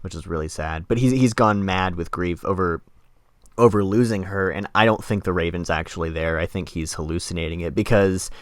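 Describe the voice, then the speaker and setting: A man's voice: low voice, a little fast, no room sound, clean studio sound. A man hosting a podcast, explaining literature in a casual, wordy way with informal asides. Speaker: podcast host.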